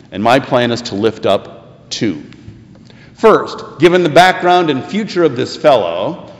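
An American man preaching a sermon, telling a scripture story with deliberate, emphatic phrasing and pauses for effect. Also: medium pitch. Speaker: preacher, pastor. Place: church sanctuary. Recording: echoey, reverberant hall.

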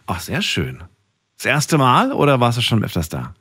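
Male, late-night talk host, animated intonation, dry close studio sound. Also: mid-range voice, moderate pace, breathy edge, no echo. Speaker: radio call-in show host. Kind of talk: late-night radio talk, addressing listeners and callers. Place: radio studio. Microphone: close studio microphone.

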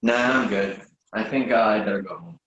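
A man acting a reluctant, sensible friend with a halting, incredulous manner in a readers theatre comedy, recorded in an echoing room. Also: lowish voice, a bit bright, muffled recording, close to an everyday voice. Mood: awkward, reluctant. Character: exasperated, reluctant male friend, sensible but awkwardly polite.

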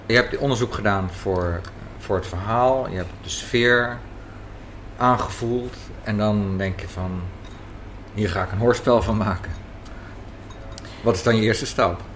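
A man conducts a casual interview. His voice is fairly low, and he probes by thinking aloud, with faint café noise around him. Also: measured pace, fairly bright tone.

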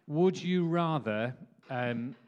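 A man's voice: fairly high pitch, lively intonation, slow, a little mellow, dull audio. A man hosting a church meeting, interviewing a guest with many fillers and short laughs. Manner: hesitant, friendly, lots of fillers and laughs.

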